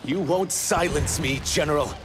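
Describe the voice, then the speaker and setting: An actor playing an earnest, defiant rebel fighter in a video game, urgent and intense. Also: male voice, on the high side, moderate pace, very noisy, natural voice. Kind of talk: dramatic video game acting.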